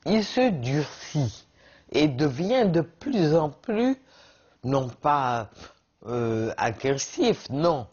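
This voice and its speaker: A woman giving a documentary interview, speaking in a thoughtful, hesitant and measured way. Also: deep voice, very animated, darkish tone, husky. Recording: muffled sound.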